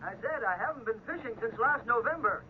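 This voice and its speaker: An actor playing an eager, chummy American fishing buddy, breezy, heard through a telephone filter in a 1950s radio drama. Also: male voice, extremely high pitch, lively intonation, a little fast, narrow, tinny audio. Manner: breezy, colloquial. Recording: thin telephone sound on hissy old radio.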